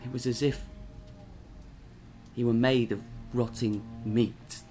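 A man's voice: medium pitch, steady tone, mellow, faint music behind, lightly acted. An actor playing a nervous drifter who stammers and halts as he recounts something horrifying. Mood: nervous, shaken. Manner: halting, stammering, frightened.

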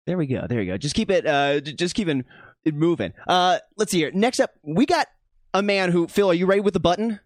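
Lively high-voiced man hosting. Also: a little fast, dry recording, clean studio sound. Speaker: host of a sports betting show. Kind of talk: casual panel chat, introducing co-hosts.

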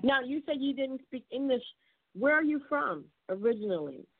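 A woman's voice: high voice, animated, normal speed, breathy edge. A woman hosting an interview, enthusiastic, repeating words for emphasis. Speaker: interview host. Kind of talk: interview conversation. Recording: tinny phone-like audio, dry.

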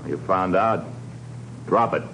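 An actor playing a cold, commanding villain who gives curt orders in a 1950s radio drama. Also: male voice, low-pitched, slow, a bit bright. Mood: menacing. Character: cold, commanding male gun-toting villain. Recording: noisy old radio recording.

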